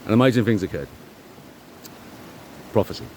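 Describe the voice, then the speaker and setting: A middle-aged man giving a rambling, informal monologue, speaking emphatically and self-assuredly in halting, repeated phrases. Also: fairly low pitch, darkish tone, a little hiss or hum, low-quality recording. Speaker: vlogger.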